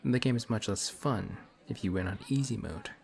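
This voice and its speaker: A man voicing a suave male villain who teases a hero in an audio roleplay, slow and taunting. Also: lowish voice, gravelly, darkish tone. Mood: mocking. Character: smug suave male villain, teasing and calm. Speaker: voice actor.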